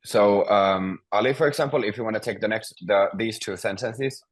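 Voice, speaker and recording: A man's voice: fairly low pitch, moderate pace. A man teaching a beginner language class, patiently prompting students and explaining grammar step by step.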